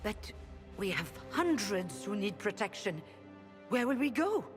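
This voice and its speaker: A woman's voice: medium pitch, very animated, a little slow. Formal, careworn female mage leader for a fantasy game, grave and dignified, score playing behind. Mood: pleading, shocked. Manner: formal, solemn, pleading. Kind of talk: video game cutscene acting. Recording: clear music behind.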